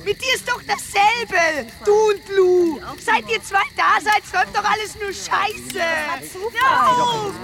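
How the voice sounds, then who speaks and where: A woman's voice: extremely high pitch, slow, vocal fry, a loud crowd, full character voice. An actress playing a bitter, furious young woman who lashes out shrilly and abrasively in a radio drama.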